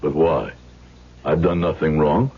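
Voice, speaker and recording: An actor playing a grieving, vengeful middle-aged shoemaker with formal, deliberate speech, in a 1970s radio drama style. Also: male voice, extremely low pitch, fairly even intonation, creaky, exaggerated voice. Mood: bitter accusing. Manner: formal, deliberate, rhetorical questions. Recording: muffled, noisy old radio broadcast.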